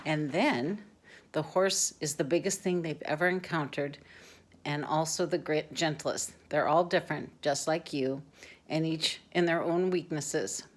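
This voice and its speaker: A woman with a fairly low voice reads a picture book aloud. She narrates informally, slipping in chatty asides for young listeners. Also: a little slow. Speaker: storyteller.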